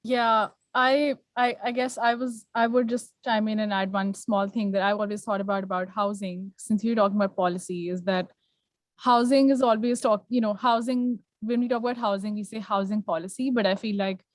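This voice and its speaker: A woman with a medium-pitched voice moderates a discussion in a conversational way. She is informal and a little halting, thinking aloud as she goes. The sound is dry, with very little room in it. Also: fairly even intonation, no echo. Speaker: panel moderator.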